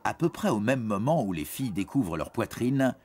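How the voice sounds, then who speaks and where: Lively male narrator. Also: on the low side, brisk, slightly rough. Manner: playful storytelling, addressing a young listener directly. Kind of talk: scripted educational cartoon narration. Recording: music under the voice. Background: background music.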